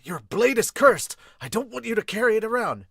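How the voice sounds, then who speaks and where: Mann spielt hektisch scheltenden Manga-Schwertkämpfer, hohe Stimme. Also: male voice, high voice, very animated, a little fast, gravelly, fairly bright tone, breathy edge, no echo, clean studio sound, pitched above the performer's own voice, heavily acted. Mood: stern, urgent. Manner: urgent, scolding.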